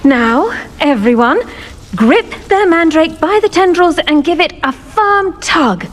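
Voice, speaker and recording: High, effusive British witch professor. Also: expressive, slow pace, slight background noise, heavily acted. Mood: cheerful, encouraging. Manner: effusive, encouraging, plant puns. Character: cheerful, kindly female herbology professor at a wizard school. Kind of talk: video game character acting.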